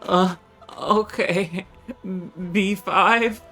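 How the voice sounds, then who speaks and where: A woman's voice: medium pitch, drawn-out words, a bit bright, faint music behind, exaggerated voice. A woman voicing a timid, guilt-ridden young male monster student who stammers and apologises in a game. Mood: nervous stammering. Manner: stammering, apologetic, easily flustered.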